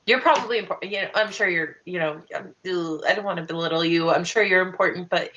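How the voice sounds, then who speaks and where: A young woman improvising in character in an online tabletop game, halting and rambling, often repeating words. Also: fairly low pitch, fairly bright tone, highs cut off. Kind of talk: improvised in-character roleplay over online call. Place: home room on a video call.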